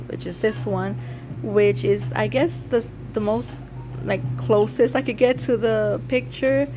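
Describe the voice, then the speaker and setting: A woman with a hoarse, raspy voice, still recovering from losing it, chatting casually as she walks through a makeup tutorial step by step. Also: medium pitch, background noise. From Puerto Rico. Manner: chatty, explains each step as she goes. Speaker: beauty content creator. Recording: music playing behind.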